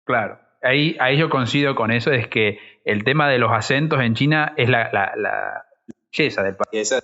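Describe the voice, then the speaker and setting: A man's voice: medium pitch, normal speed, sharp tone, highs cut off. A man with a Rioplatense accent speaking emphatically in a casual podcast discussion, repeating points and acting out quotes. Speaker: podcast guest sharing experience of learning Chinese.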